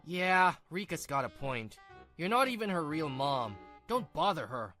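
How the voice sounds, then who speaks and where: A man acting a domineering husband in a manga dub. His voice sits fairly high for a woman's range but low beside one, scornful and quick to blame. He sounds self-pitying and short-tempered, with music behind him. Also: sing-song, bright, forceful tone, full character voice. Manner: scornful, blaming.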